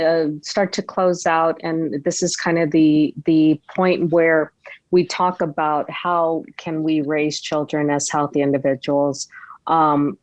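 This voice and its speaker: A woman giving a conversational presentation on a video call as a workshop speaker, reflective and halting as she goes. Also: low-pitched, a little mellow, dry recording, low-quality recording. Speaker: guest speaker leading a workshop. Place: online video meeting.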